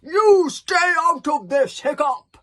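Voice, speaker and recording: A woman voicing a hot-tempered male Viking chief who roars and shouts his orders. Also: low voice, flat delivery, normal speed, vocal fry, slightly rough, pitched below the performer's own voice, full character voice. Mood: enraged. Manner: roaring, shouting.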